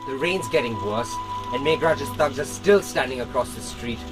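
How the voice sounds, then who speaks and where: An actor with an Indian accent playing an earnest, dryly sarcastic young assistant to a detective in a radio drama. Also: male voice, medium pitch, moderate pace, over music, natural voice, rough recording. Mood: suspicious.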